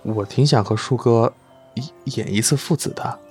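A man acts as a young male film star in an audio drama, devoted to an older colleague. He speaks in an eager, coaxing way at a middle pitch. Music plays behind him. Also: moderate pace, a little mellow, close to an everyday voice. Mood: earnest, keen. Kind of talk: Chinese audio drama acting.

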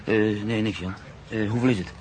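Deep-voiced, world-weary literary man, played by an actor. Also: steady tone, noisy background, natural voice. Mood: pensive. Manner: measured, reflective, formal wording. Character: cultured, world-weary male journalist and writer, calmly ironic. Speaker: lead actor and first-person narrator. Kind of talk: Dutch radio drama acting and narration.

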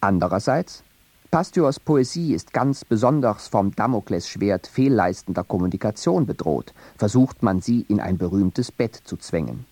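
A man narrating a scripted radio essay in a measured, reflective and earnest way. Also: lowish voice, animated, dark tone, no room sound.

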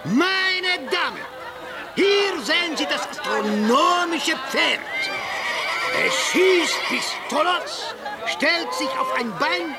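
A man's voice: extremely high pitch, expressive, slow pace, a loud crowd, exaggerated voice. An actor in a radio drama playing an exuberant fairground barker who shows off performing animals with pompous, broken showman patter. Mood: bombastic showing off. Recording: loud music behind the voice. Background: music.

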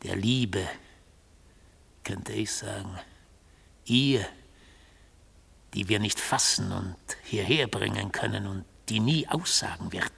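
A gravelly, stern philosophiser. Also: male voice, on the low side, a bit bright, exaggerated voice. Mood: grave, obsessive. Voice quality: gravelly, husky. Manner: measured, declamatory, disdainful. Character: stern, mystical accused man, philosophising in court. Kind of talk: 1970s radio drama monologue.

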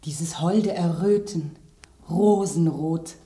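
A woman's voice: low voice, slow, warm tone, roomy sound. A woman in a German radio play voicing a noble, romantic rose in an affected, swooning way. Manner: affected, sighing sweetly.

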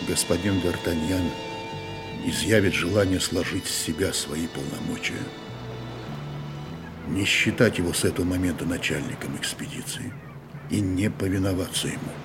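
An actor playing a resolute musketeer captain in command of an expedition in a radio drama, authoritative and declamatory. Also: male voice, low-pitched, normal speed. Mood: stunned, grave. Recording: loud music under radio play. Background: music.

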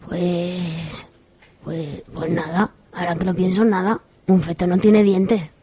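A woman's voice: on the low side, little pitch movement, takes it slowly, a crowd in the background. A woman playing a flippant, scheming fortune-telling witch in an amateur comic radio soap, casual about gruesome things. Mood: flippant, soothing.

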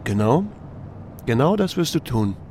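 A man's voice: mid-range voice, sing-song, measured pace, a little mellow, slight background noise. A man narrating an audiobook in the first person and acting out its dialogue in a dry, laconic, understated way. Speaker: audiobook narrator voicing a small-town sheriff's first-person story. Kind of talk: scripted narration with acted dialogue.

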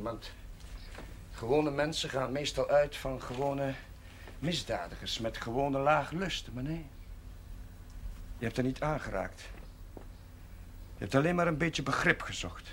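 An actor playing an earnest, patient and compassionate village chaplain in a Dutch television period drama. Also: male voice, medium pitch. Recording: faint background noise, old TV soundtrack.

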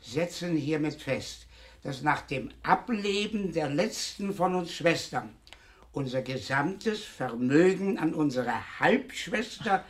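An actor playing a formal official reading out a document in a radio play, ceremonious and officious. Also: male voice, on the high side, lively intonation, slow.

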